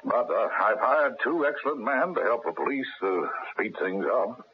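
A man's voice: mid-range voice, lively intonation, moderate pace, lightly acted. Man playing an anxiously reassuring, devoted husband. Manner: solicitous, reassuring. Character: devoted husband soothing his distressed wife. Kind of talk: 1970s radio mystery acting. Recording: muffled vintage radio broadcast with hiss.

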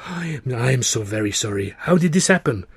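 A man's voice: mid-range voice, animated, a little fast, no echo, lightly acted. Man putting on an effusive, swooping theatrical Frenchman. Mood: stricken condolence. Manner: melodramatic, flowery foreign phrasing. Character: effusive, theatrical Frenchman, worldly stage performer and ladies' man.

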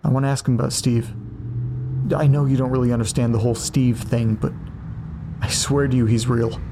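A man's voice: medium pitch, little pitch movement, fairly quick, lightly acted. A man playing an earnest, anxious amateur podcaster in naturalistic, hesitant audio drama dialogue. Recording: faint car noise. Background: car interior ambience.

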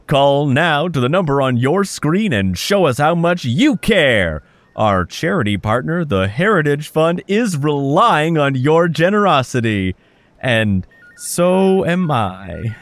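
A man's voice: fairly high pitch, big pitch swings, slow pace, dry recording, exaggerated voice. A man playing an ingratiating telethon host with showy, theatrical broadcast patter. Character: smooth-talking male telethon host soliciting donations.

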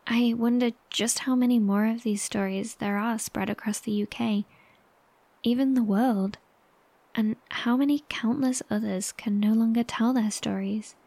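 An actress playing a compassionate, sombre woman broadcaster in a horror audio drama, speaking softly and breathily, with gentle hesitation. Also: a little high, fairly even intonation, measured pace, vocal fry, dry recording. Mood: sombre, unsettled.

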